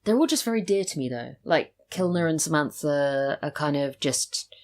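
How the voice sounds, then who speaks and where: A woman with a very low voice talks casually as a podcast guest. She is thoughtful, often rewording herself as she goes. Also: animated, normal speed. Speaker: podcast guest, audio drama writer. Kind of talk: casual interview chat.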